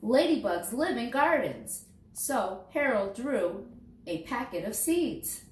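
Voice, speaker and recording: A woman reads a picture book aloud to young children in an expressive way, dramatizing key words. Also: fairly high pitch, slow pace. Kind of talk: storybook read-aloud. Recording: roomy echo, distant mic, faint noise.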